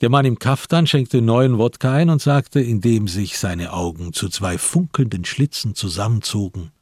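A man narrates a literary crime play in a deep, very rough and husky voice. The sound is close and dry. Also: moderate pace, darkish tone, no room sound. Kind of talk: scripted literary narration in a radio drama.

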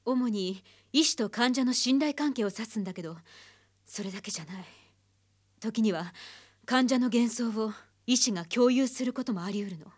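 An actress playing a composed female psychotherapist in a radio drama, speaking in a measured, probing and grave manner. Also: on the high side, close to an everyday voice. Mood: grave, hushed. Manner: measured, calm questioning. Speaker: voice actor. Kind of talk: radio drama acting and narration.

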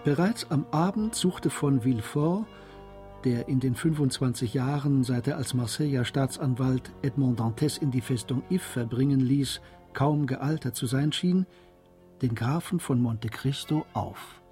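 A man's voice: medium pitch, unhurried, warm tone. A man giving scripted literary narration as the narrator of a German radio drama. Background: music.

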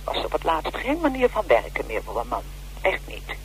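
An actress playing a wary, aggrieved middle-aged widow in a radio play, speaking hesitantly and defensively. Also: female voice, low voice, huge pitch swings, moderate pace. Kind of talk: naturalistic radio play acting. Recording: noisy old radio broadcast with hum.

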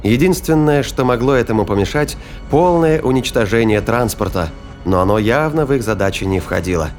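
A man's voice: mid-range voice, expressive, brisk, darkish tone, soft background music. A man narrating a science fiction thriller as scripted, dramatic audio fiction.

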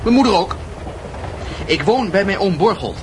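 High-pitched, cocky schoolboy, noisy recording. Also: male voice, expressive. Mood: defiant, flippant. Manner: flippant, theatrical, drawn-out delivery. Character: cocky, evasive teenage schoolboy who dances and mocks. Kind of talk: radio play acting. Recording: noisy radio play recording.

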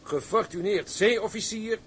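A man's voice: sits high, a little slow, slight background noise. A man playing a cheeky, boastful ship's mate in a radio drama, jaunty and self-satisfied. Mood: smug, amused. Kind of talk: Dutch radio drama acting.

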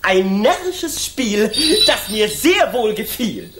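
An actress playing a mocking, mischievous court jester in a radio drama, talking in sing-song, sardonic rhymes. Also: female voice, medium pitch, big pitch swings, takes it slowly, over music. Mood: gleeful, sardonic. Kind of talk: German children's radio drama acting.

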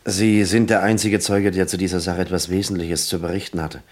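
A man acting an earnest, world-weary police lieutenant in a German crime radio play, deliberate and probing. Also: low voice, flat delivery, darkish tone. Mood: businesslike, probing.

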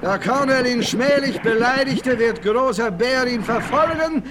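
An actor playing a proud, vengeful warrior who speaks solemnly in a 1960s radio play. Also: male voice, extremely high pitch, measured pace. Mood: solemn resolve. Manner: solemn, speaks of himself in third person. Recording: slightly noisy old vinyl record.

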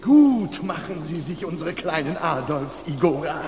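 Mad scientist, imperious, theatrical, animated high voice, echoing room, radio play. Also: male voice, a little slow, gravelly, background noise. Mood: gloating, doting. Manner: theatrical, haranguing, scolding. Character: mad scientist doctor, vain, fanatical, imperious cloner. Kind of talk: German radio play acting. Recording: roomy echo, faint music behind.

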